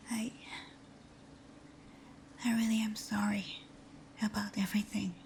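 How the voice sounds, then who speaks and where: A woman performs a tender, timid female villain in an intimate ASMR roleplay. Her voice is a soft, breathy whisper, hesitant and slow, with little rise and fall. A noticeable noise sits behind her. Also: mid-range voice. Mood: anxious, tender. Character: timid, tender female fox supervillain confessing to best friend.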